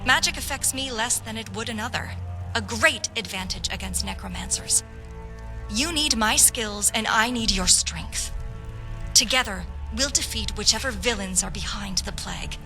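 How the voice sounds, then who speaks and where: Game role: woman as earnest patriotic swordswoman of noble birth. High voice, formal, resolute idealist, music behind. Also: normal speed, pushed and bright. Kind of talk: video game roleplay dialogue.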